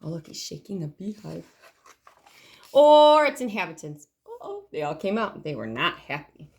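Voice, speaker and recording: Female storytime host, lively swooping pitch, expressive picture-book reading with chatty asides for young listeners. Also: moderate pace, gravelly.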